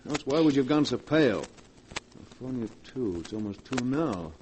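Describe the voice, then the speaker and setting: An actor playing an anxious, insistent husband in a 1940s radio drama, with emphatic theatrical delivery, on a hissy old recording. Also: male voice, medium pitch, expressive, moderate pace, a little mellow, close to an everyday voice, poor audio. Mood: angry, demanding.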